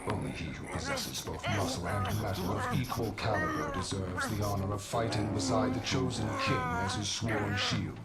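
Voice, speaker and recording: An actor playing a stern ancient swordmaster who speaks gravely and formally. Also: male voice, low voice, moderate pace, few pauses. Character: stern ancient male swordmaster judging warriors. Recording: echoey game audio with music.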